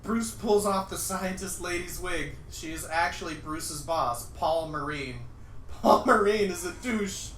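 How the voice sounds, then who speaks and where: A man's voice: high voice, a little slow, a bit bright. A man giving an amused comic dramatic reading of a screenplay as a livestreamer. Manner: amused, often breaking into laughter. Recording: roomy, distant mic, faint noise.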